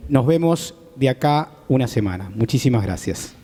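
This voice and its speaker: A man's voice: medium pitch, lively intonation, normal speed, heavy background noise. Argentine man hosting in a reverberant hall. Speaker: host. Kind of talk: hosting a live panel talk. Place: theatre stage before an audience. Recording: echoey hall with long reverb.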